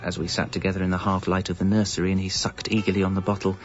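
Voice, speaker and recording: An actor as a low-voiced, rueful British family man. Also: completely flat delivery, very fast, natural voice. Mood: rueful, confessional. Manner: rueful, confessional, wry. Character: guilt-ridden married father narrating his double life. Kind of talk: scripted first-person narration and acting in radio comedy drama. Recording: dull, muffled audio with music.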